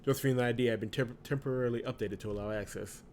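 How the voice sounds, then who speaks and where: A man's voice: medium pitch, fairly even intonation, quick pace, slight background noise. A man hosting a visual novel playthrough, reading the narration aloud and reacting casually. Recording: loud game music behind voice. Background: game music.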